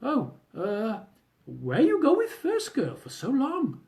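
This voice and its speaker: A man voicing a sarcastic female vet nurse who speaks broken English, asking mock-innocent questions in a high, sing-song voice. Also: wildly swooping pitch, takes it slowly, darkish tone, exaggerated voice. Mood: teasing.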